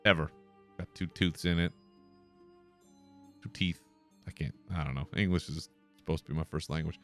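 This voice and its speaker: A man's voice: extremely low pitch, moderate pace, a bit bright, soft background music. A man hosting a podcast alone, talking in a laid-back, casual way and swearing now and then. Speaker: podcast host. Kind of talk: solo casual commentary.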